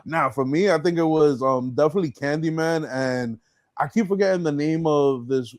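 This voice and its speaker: A man's voice: fairly high pitch, normal speed, no echo, studio quality. A middle-aged American man hosting a podcast in casual, slangy, streetwise conversation. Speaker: podcast host. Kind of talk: casual chat.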